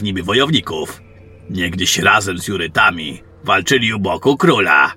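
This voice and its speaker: A man's voice: deep voice, pushed and bright, slightly rough, exaggerated voice. A man playing a seasoned older warrior mentor in a fantasy audio drama, terse, brooding and knowing. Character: gruff seasoned older male warrior mentoring a young soldier.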